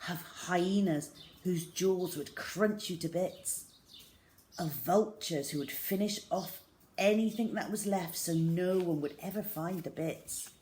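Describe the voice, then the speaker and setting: A woman reading a children's story aloud in a measured, expressive, dramatic way with hushed emphasis. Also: low voice, slight background noise.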